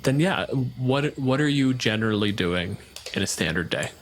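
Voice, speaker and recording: A man's voice: lowish voice, expressive, normal speed, slight background noise. A man running a tabletop game as game master, asking casual, inquisitive questions.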